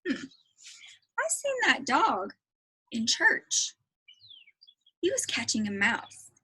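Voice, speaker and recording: A woman's voice: high-pitched, wildly swooping pitch, slow pace, bright, forceful tone, slightly rough, exaggerated voice. A woman voicing a nosy, wide-eyed little girl in a storybook, with childish, ungrammatical talk. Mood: wistful.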